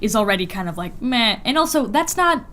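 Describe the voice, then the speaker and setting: A woman's voice: on the high side, big pitch swings, fairly quick, bright, forceful tone, slight background noise. A woman co-hosting a podcast in casual banter, slangy and sweary, with sardonic, exasperated asides. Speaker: podcast co-host.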